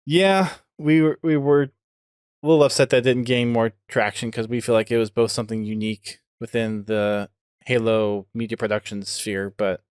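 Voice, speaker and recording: A man's voice: mid-range voice, steady tone, moderate pace, a little mellow, smooth, no room sound, clean studio sound. A man hosting a podcast, speaking in a casual conversational way. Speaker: podcast host and video producer.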